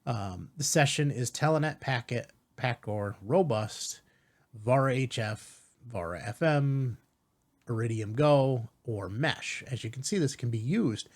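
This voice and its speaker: Animated, slightly husky man walking through steps. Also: medium pitch, takes it slowly. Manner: explanatory, checks in with "right?". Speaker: tutorial host. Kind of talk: casual step-by-step software tutorial.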